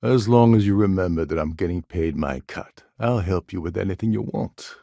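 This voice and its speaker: An actor playing a gruff, cynical, self-serving space pirate in a video game, with a gravelly voice and a wry, sardonic, world-weary manner. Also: male voice, sits low, moderate pace, dark tone, no room sound, studio quality. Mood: sardonic, impatient. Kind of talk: game character dialogue lines.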